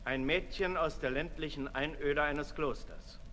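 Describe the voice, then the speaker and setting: A man playing a brooding, sensual libertine aristocrat in a 1960s German radio drama, declamatory, moving between hushed and loud. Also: fairly high pitch, measured pace. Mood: brooding, seductive. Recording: reverberant 1960s radio recording, faint noise.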